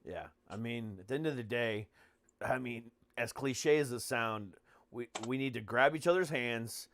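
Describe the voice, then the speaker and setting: A man's voice: mid-range voice, normal speed, fairly bright tone, a little hiss or hum. An American man hosting a podcast in casual chat, speaking bluntly and swearing freely. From United States. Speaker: podcast host and comedian.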